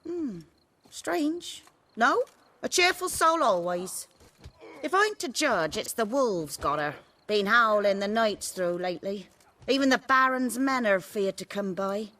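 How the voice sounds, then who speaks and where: A woman's voice: mid-range voice, lively intonation, unhurried, bright, forceful tone. A woman playing a blunt, sardonic village trader's wife in a video game. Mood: guarded. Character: blunt, wry village carrion seller's wife.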